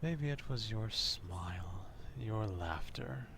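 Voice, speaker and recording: A man playing an obsessive, old-fashioned vampire stalker in an ASMR roleplay, speaking formally in a hushed half-whisper, close to the microphone. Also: lowish voice, lively intonation, background noise, full character voice. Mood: smitten, creepy. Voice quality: hushed, breathy half-whisper with gravelly fry. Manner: slow, deliberate, formal archaic wording.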